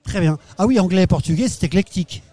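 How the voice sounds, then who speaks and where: A man's voice: sits high, expressive, mellow. A man hosting a radio interview at an associations fair, joking and bantering with his guests. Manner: jokey, quick banter. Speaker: radio host.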